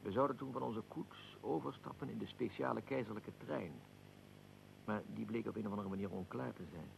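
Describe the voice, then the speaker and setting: An actor playing a proud, aggrieved middle-aged archduke in a radio drama, speaking formally and deliberately with a gravelly voice. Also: male voice, lowish voice, noisy background. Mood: reflective. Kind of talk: radio drama, historical figure being interviewed.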